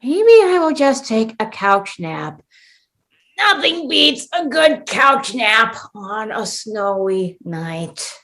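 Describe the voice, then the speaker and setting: A woman's voice: fairly high pitch, very animated, slow, fairly bright tone, exaggerated voice. A young woman playing an exasperated, sarcastic mall mascot in a comic radio play, with theatrical delivery. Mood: bored, grumbling. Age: young adult. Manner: theatrical, sarcastic, big exclamations.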